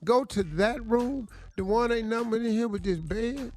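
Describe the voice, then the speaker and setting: A man hosting morning radio, telling jokes and stories in relaxed, playful banter. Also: extremely high pitch, moderate pace, slight background noise. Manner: joking storyteller, drawling and playful. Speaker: host.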